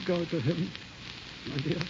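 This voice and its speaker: An actor playing a frail, remorseful, lovelorn old uncle in an old radio drama, halting and emotional. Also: male voice, a little high, close to an everyday voice. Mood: remorseful, tender. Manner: halting, emotional, repeating words. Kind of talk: 1940s radio drama acting. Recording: muffled, crackly old radio broadcast.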